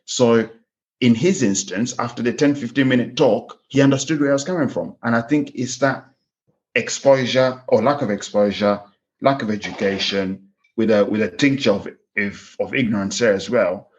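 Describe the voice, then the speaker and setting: A man in his thirties with a Kenyan accent, speaking as a guest in a candid interview, earnest and reflective, often restarting his sentences. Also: fairly low pitch. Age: 30s. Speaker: farm manager guest. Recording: slightly dull lo-fi sound.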